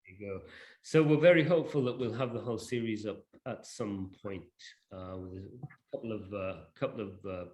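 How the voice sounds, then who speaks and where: A man presenting a digital library informally to an online session, speaking in a halting, hesitant way. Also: lowish voice, darkish tone. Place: online video call.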